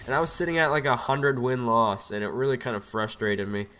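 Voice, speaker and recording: A young man with a cold and a raspy voice, rambling casually through gameplay commentary on a desk microphone. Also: medium pitch, steady tone, fairly quick, bright, forceful tone. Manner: rambling, chatty, lots of fillers. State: has a cold. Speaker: gaming commentator. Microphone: desk USB microphone.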